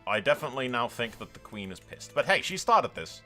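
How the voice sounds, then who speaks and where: A man giving excited, sweary video game commentary with a theatrical, roleplaying streak. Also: medium pitch, a little fast, soft background music.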